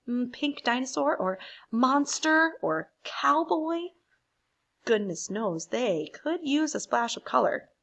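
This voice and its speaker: A woman's voice: on the high side, vocal fry, full character voice. A woman voicing a sassy, miffed crayon from a picture book, pointed in manner. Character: sassy, miffed pink crayon, feeling overlooked. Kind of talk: picture book read aloud.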